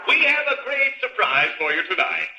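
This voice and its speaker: High male voice, very animated, pompous oratorical announcer, vintage radio comedy, echoey. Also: moderate pace, creaky, highs cut off, full character voice. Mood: mock-formal. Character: pompous master of ceremonies at a school club show. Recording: echoey old radio recording.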